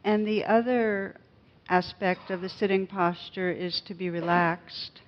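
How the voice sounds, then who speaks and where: A woman leading a guided meditation as a teacher, speaking gently and unhurriedly with pauses. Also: medium pitch, fairly even intonation, muffled recording.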